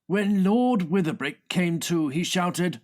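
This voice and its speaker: A man's voice: sits high, animated, slow, darkish tone. A man narrating scripted comic fiction as an audiobook reader, with a theatrical storytelling delivery.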